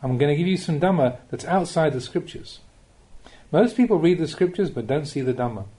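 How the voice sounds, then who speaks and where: Male narrator for spiritual audiobook: soft mellow tone, animated pitch movement, measured teaching delivery. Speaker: narrator reading Buddhist teachings. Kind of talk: audiobook reading of a spiritual talk.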